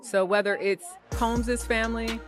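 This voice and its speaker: A woman's voice: a little high, steady tone, measured pace, over music. A woman giving an unscripted report as a court correspondent in a news interview.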